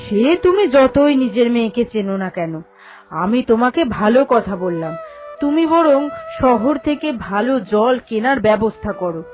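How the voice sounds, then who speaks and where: A woman's voice: a little high, natural voice. A woman voicing a meddling, critical village neighbour in a cartoon, insistent and admonishing. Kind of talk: animated cartoon dialogue.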